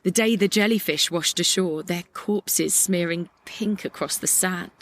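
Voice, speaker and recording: A woman playing a melancholic, angry young idealist in a poetic audio drama monologue. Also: on the low side. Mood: wistful. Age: young adult. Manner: lyrical, reflective, deliberate. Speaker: actor in an audio play.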